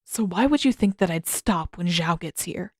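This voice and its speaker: A woman's voice: on the low side, animated, normal speed, no room sound. A woman reader puts on a prickly young prince in an adventure story. He is guarded and sullen, answering in short, defensive bursts with a dry edge. The recording has no audible room. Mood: guarded, earnest.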